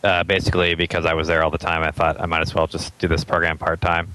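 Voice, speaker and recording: A man's voice: low-pitched, monotone, quick pace, sharp tone, smooth, no room sound, rough recording. A man from Atlanta, a startup founder as an interview guest, thinking aloud in casual chat with many ums and frequent chuckles.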